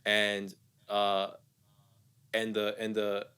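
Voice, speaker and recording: A man's voice: on the low side, completely flat delivery, very slow, bright, forceful tone, clear, resonant tone. A young man from the United States, a guest musician talking casually and reflectively in an interview, often repeating words as he thinks.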